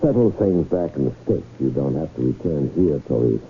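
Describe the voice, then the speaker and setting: Deep gravelly deferential schemer. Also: male voice, lively intonation, normal speed, soft background music. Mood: businesslike, pressing. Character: smooth-talking male con man posing as royal aide. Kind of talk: 1970s radio drama acting. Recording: noisy, tinny old radio recording.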